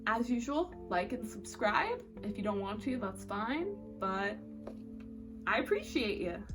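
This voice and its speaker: Chatty woman doing a book vlog, highish voice, slangy and candid, music going behind her. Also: big pitch swings, unhurried. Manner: informal and candid, with slang and swearing. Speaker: book review vlogger. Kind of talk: casual chatty monthly reading recap to camera. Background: background music.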